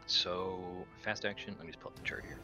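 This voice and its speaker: A man's voice: deep voice, little pitch movement, a little fast, music in the background. A man chatting informally as a player in a tabletop game, thinking aloud about his moves. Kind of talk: casual game-table chat.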